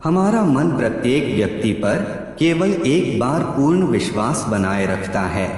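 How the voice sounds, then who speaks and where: Male narrator, scripted and deliberate, animated intonation, drenched in echo. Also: medium pitch. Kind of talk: scripted narration of psychology facts. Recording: heavy echo, long reverb, background music. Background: music.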